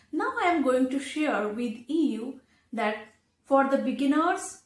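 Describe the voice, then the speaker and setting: A woman who speaks English as a second language, giving an instructional talk to learners in deliberate short phrases with pauses. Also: high voice. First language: not English. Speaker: English teacher, video presenter. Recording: echoey room.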